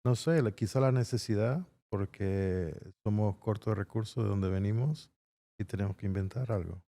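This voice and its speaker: A middle-aged man from Peru talks as a guest in a casual interview. His voice sits low and sounds warm and relaxed. He gives short, plain, unhurried answers. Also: creaky. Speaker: guest, construction entrepreneur.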